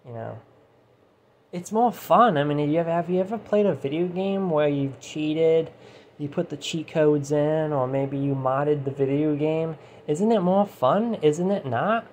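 A man with a mellow, darker voice rambles through a casual monologue in his room, his voice starting to go hoarse. He swears freely and keeps checking in with "you know". His phone rests nearby, with a faint heater hum behind him. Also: fairly high pitch, unhurried. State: voice going hoarse. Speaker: channel host. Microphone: phone set down nearby.

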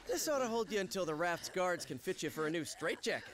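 A man's voice: high voice, animated, fast, background noise. A man voicing a youthful, quippy superhero in a video game, with a wry, upbeat manner. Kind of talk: video game cutscene acting.

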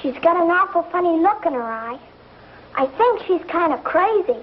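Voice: extremely high pitch, animated, normal speed, a little hiss or hum. A young female performer playing a nosy, tattling little schoolgirl in an old Western TV drama. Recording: dull vintage TV soundtrack.

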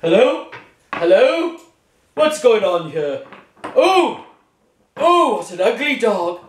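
Woman putting on a blustering, gruff storybook king. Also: on the high side, big pitch swings, slow, slight background noise. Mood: startled disgust. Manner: blustering, exclamatory. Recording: echoey room.

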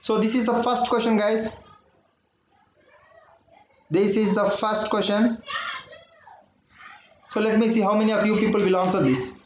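A man's voice: high-pitched, fairly even intonation, a little slow, pauses often. A man with an Indian English accent teaching a live online math class. He repeats phrases and keeps asking whether his listeners understood. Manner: repeats phrases, keeps saying "my dear friends" and "understood or not". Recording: dull, roomy audio with faint noise.

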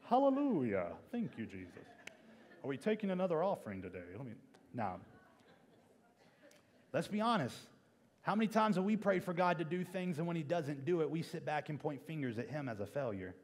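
Young male preacher, high-pitched, emphatic and repetitive delivery, reverberant auditorium. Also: very animated, fairly quick, darkish tone. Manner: emphatic, repeats key phrases for effect. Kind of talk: church sermon to a congregation. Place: large church stage indoors. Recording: reverberant church auditorium sound.

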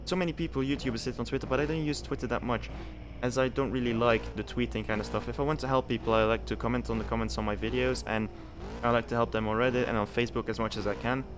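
A man's voice: medium pitch, fairly even intonation, quick pace, noisy background. Chatty young man from Belgium streaming. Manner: chatty, repeats himself, reads game text aloud. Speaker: game streamer. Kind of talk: casual live-stream commentary.

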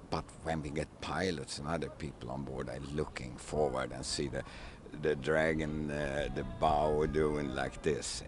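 An older man with a very deep voice speaks slowly, searching for his words in broken English. He is interviewed outdoors at a waterfront, talking into a handheld mic, with faint outdoor noise behind him. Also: creaky. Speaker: ship captain. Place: outdoors on a wooden ship at a city waterfront. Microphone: handheld mic held by interviewer.

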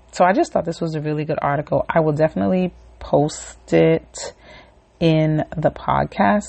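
A woman's voice: deep voice, gravelly, a little mellow. A woman hosting her own podcast, talking casually and ramblingly with many fillers, then leading a short relaxation and affirmation. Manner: rambling, conversational, filler-heavy; leads a relaxation and affirmation segment. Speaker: podcast host. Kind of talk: casual solo podcast talk.

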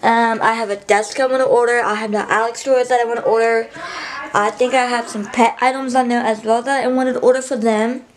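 A woman's voice: fairly high pitch, fairly even intonation, a little fast, slight background noise. Chatty young woman vlogging from her bedroom. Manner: rambling, chatty, many fillers and restarts. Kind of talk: casual vlog chat to camera. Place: small bedroom, indoors. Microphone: handheld camera close to the face.

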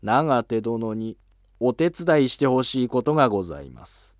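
A man voicing an ambitious, deferential court noble in an animated historical drama, speaking formally and urgently. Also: mid-range voice, normal speed, dry recording, clean studio sound, close to an everyday voice. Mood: deferential, persuasive.

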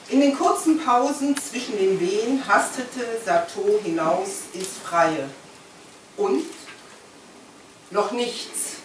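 Middle-aged woman, slow literary reader, medium pitch, distant mic, noisy room. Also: a bit bright. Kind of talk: literary reading aloud with spoken introduction. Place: crowded living room, indoors. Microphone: distant camera microphone. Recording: echoey noisy room, picked up from afar.

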